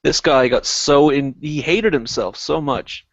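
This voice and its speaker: A man's voice: a little high, a little slow, no echo. A man chatting casually, blunt, opinionated and given to swearing. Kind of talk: casual chat. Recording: dull lo-fi internet call audio.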